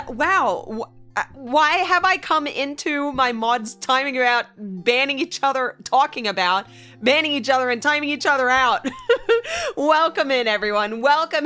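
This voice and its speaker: A woman's voice: high-pitched, pushed and bright. Bubbly, giggly young woman streamer. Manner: bubbly, giggly, greets chat by name. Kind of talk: casual chat with live viewers. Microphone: desk mic, loud.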